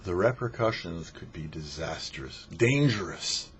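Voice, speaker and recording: Male rabbi for short radio-style sermon; didactic, lively intonation, emphatic delivery, faint background noise. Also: sits low, a little slow. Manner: emphatic, didactic, asks and answers rhetorical questions. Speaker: rabbi hosting a weekly Torah portion show. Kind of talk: short sermon, explanatory religious teaching. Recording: dull lo-fi audio with faint noise.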